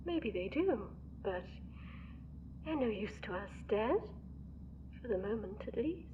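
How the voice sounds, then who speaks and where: A woman's voice: medium pitch, expressive, a little slow, lightly acted. A woman playing a scheming, condescending female villain in an audio drama, sing-song and patronising. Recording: tinny phone-like distant sound, noisy.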